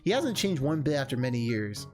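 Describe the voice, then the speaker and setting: Casual streamer for visual novel narration and viewer chat, mid pitch, music bed behind. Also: big pitch swings, brisk, darkish tone. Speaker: video game streamer, host.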